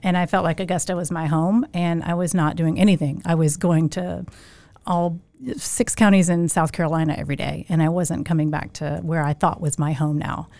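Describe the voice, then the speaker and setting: Middle-aged woman from Louisiana, lowish voice, dry studio sound; casual, chatty guest. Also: fairly even intonation, a little fast, darkish tone, dry recording. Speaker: guest, skincare shop owner. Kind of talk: casual podcast interview.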